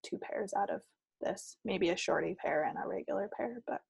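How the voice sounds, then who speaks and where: A woman's voice: medium pitch, normal speed, gravelly, a little mellow, breathy edge, no echo, clean studio sound. A woman co-hosting a craft podcast, chatting casually in a rambling, playful way. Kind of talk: casual chat about projects. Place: recorded at own end of a remote video call.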